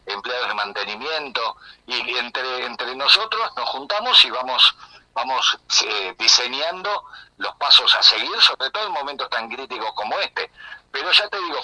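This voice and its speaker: Very bright older Buenos Aires man. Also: medium pitch, no room sound, low-quality recording. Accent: Buenos Aires Argentine. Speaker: radio interview guest, community radio journalist. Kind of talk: unscripted conversational account.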